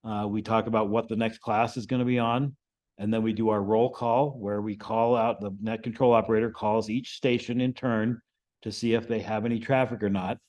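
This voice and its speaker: A man giving an informal, explanatory lesson to a group as the instructor, often checking in with a quick "right?". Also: on the low side, level tone, darkish tone. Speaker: amateur radio class instructor.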